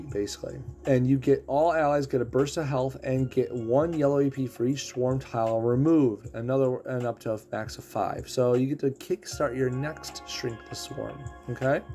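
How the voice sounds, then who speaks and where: A man casually explaining a game character's abilities, throat rough as he is losing his voice. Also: mid-range voice, normal speed, few pauses, mellow, soft background music. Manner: explanatory, walks through ability text. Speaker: game streamer.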